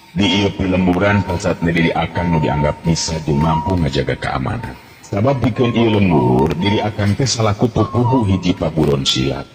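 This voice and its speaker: Man doing a chill confident young fighter guy, deep, steady, echoey old radio sound. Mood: calm. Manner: steady, reassuring. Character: calm, confident young martial-arts teacher. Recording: roomy, hissy old radio recording.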